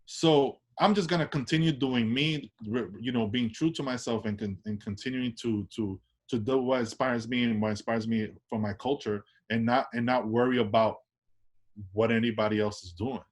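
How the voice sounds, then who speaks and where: A man with a New York accent talks through an interview in an easy, rambling way. His voice sits at an ordinary pitch, and he keeps checking in with tag questions and starting sentences over. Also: fairly even intonation, moderate pace. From Washington Heights, New York. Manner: loose, tag questions, many restarts. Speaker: artist guest. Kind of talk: casual interview chat.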